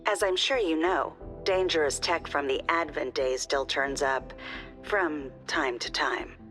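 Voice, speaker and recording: A woman's voice: low voice, a little slow, a bit bright, faint music behind. An actress playing a sly female proprietor who sells tips to a squad, in a silky, confiding manner. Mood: smooth. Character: sly female shop proprietor, smooth broker. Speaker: establishment proprietor. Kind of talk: video game voice acting.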